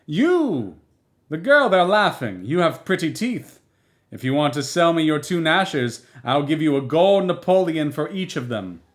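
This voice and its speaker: A man voicing a brash traveling quack dentist who shouts to a crowd, in a read-aloud story. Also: a little high, sing-song, measured pace, pitched above the performer's own voice. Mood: brash calling.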